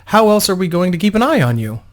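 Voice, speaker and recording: A man's voice: high voice, big pitch swings, fast talker, pitched above the performer's own voice. A man voicing a female bodyguard and adventurer who is courteous, practical and protective, in a storybook reading. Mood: friendly, reassuring.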